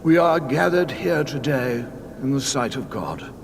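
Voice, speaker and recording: A man's voice: medium pitch, animated, unhurried, creaky, voice pushed up. A man voicing a solemn, hesitant archbishop officiating a wedding in a comedy audio story. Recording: long church-like reverb, faint noise.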